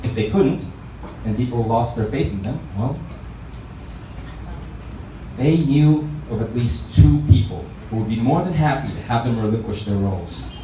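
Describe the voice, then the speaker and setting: Very echoey male story narrator, mellow voice. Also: medium pitch, lively intonation, normal speed. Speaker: narrator, book reader. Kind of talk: expressive story narration read aloud. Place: reverberant room at a live reading event. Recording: echoey room, distant mic, faint noise.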